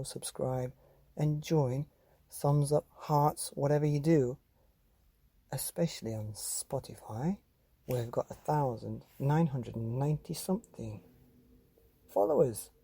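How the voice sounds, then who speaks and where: A man hosting a children's bedtime story podcast, speaking directly to young listeners in a measured, deliberate way. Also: mid-range voice, creaky, warm tone, breathy edge, dry recording. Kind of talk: scripted children's podcast narration.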